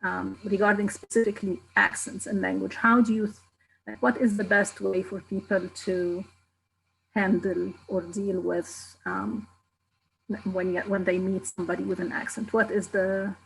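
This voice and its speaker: A woman moderating a panel on a video call, her voice heavy with vocal fry. She speaks hesitantly and tends to trail off, with a little faint noise behind her. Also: mid-range voice, little pitch movement, normal speed, rough recording. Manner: hesitant, many fillers, trailing off.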